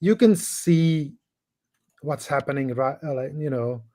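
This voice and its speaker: A middle-aged man from Belgium, Dutch-speaking by birth, who talks English with a Flemish accent as a caller, halting and restarting often in casual chat. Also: on the high side, warm tone. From Belgium, Dutch-speaking part. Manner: halting, hesitant, many restarts and fillers.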